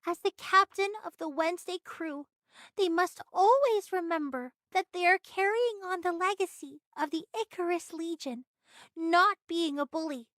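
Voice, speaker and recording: A woman's voice: very high voice, moderate pace, fairly bright tone, no room sound. A woman voicing a plucky, earnest and determined child pirate captain in an anime game. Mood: earnest, resolute.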